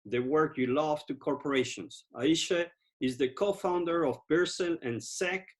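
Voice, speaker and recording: Eager podcast host with a higher voice. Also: male voice, a little slow. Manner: enthusiastic, repeats words for emphasis. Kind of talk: podcast interview hosting.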